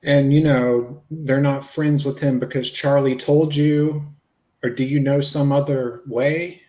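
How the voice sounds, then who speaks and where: A man's voice: mid-range voice, fairly even intonation, measured pace, darkish tone, natural voice. A man reading the part of a patient investigator in a police interview, explaining things plainly and casually. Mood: casual, probing. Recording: roomy, echoey sound.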